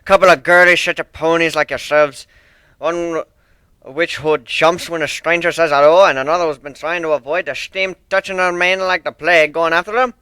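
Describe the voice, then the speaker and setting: A man voicing a grizzled, stern, deadpan old sailor pegasus in an amateur dramatic reading of a cartoon fan story. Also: a little high, moderate pace, clean tone, no room sound, voice pushed up. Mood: gruff, mocking. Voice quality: stern, slurred S sounds, rolled R's. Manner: deadpan, blunt seafaring talk. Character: grizzled old male sea dog pegasus, gruff, deadpan.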